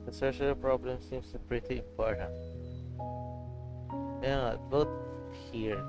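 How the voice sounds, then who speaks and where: A man streaming a game, speaking very slowly in a mid-pitched voice. He is offhand and halting in his live chat, with game music clearly behind him. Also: low-quality recording. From Philippines.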